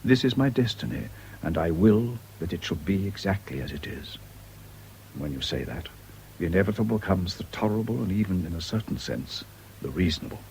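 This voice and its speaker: A grave English counsellor in a radio play, very low in pitch. He speaks in a measured, moralizing way, calm and unbending, with clear noise on the recording. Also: rough recording. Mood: solemn, philosophical. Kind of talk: radio drama acting.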